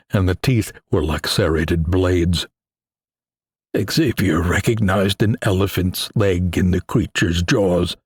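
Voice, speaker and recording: A man reading a suspenseful adventure story as an audiobook narrator, in a grave, deliberate, dramatic manner. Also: low voice, breathy edge, no echo, studio quality.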